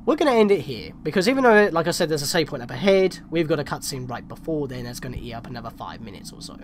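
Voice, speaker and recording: A woman's voice: sits low, sing-song, quick pace, noisy background. A woman hosting a gameplay video with casual, conversational commentary. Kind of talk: casual let's play commentary. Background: game music.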